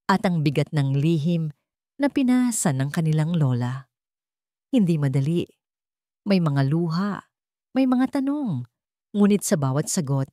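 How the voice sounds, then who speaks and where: A woman's voice: low voice, warm tone, no room sound, clean studio sound. A woman reading a scripted romance story as a dramatic narrator.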